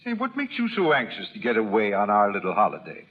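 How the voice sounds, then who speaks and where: An actor playing a hearty, affectionate well-to-do husband in 1930s radio drama style, with brisk, clipped, jovial phrasing. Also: male voice, lowish voice, huge pitch swings. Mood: puzzled, amused. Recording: dull, muffled old radio broadcast.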